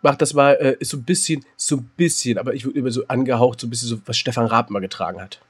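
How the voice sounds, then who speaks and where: A man with a mid-range, husky voice talks casually on a podcast. He hesitates often and lets his sentences trail off. He agrees easily with his co-host. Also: medium pitch, fast. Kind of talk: casual chat.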